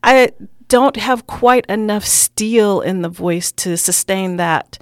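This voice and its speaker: Middle-aged woman, classical singer, expressive interview guest, dry close sound. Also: mid-range voice, takes it slowly, no echo. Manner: enthusiastic, expressive, laughs often. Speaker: classical singer and stage performer.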